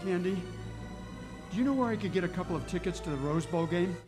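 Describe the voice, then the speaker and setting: An amiable homicide cop acted by a man in an old-time radio play. He talks in a casual, halting, slightly bashful way over clearly audible music. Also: high-pitched, expressive. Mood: awkward, bashful. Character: friendly, hesitant male police homicide inspector.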